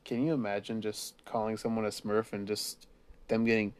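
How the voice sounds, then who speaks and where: A woman's voice: extremely low pitch, little pitch movement, moderate pace, darkish tone, smooth. Young woman goofing around on a podcast, teasing, joking at herself, loose casual chat. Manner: joking, teasing, self-deprecating. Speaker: podcast co-host.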